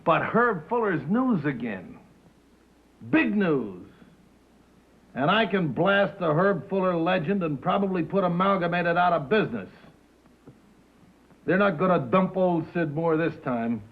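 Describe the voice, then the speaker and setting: An actor playing a brash, cynical American wheeler-dealer in a 1950s film drama, with sarcastic, slangy, punchy delivery. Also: male voice, high-pitched, animated, a little slow, long pauses. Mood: defiant. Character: brash, cynical, mocking male broadcast-business wheeler-dealer. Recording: muffled old film soundtrack.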